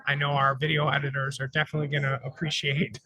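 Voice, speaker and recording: A man co-hosting a live radio talk show, chatting in an upbeat, storytelling way. His voice is sharp and forceful, sitting a bit high for a man. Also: monotone, quick pace, husky, no room sound, rough recording. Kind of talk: casual live radio chat. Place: conference floor broadcast.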